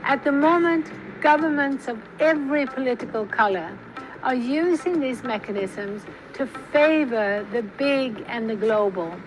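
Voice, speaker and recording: Higher-voiced woman narrating a documentary. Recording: film soundtrack with background noise.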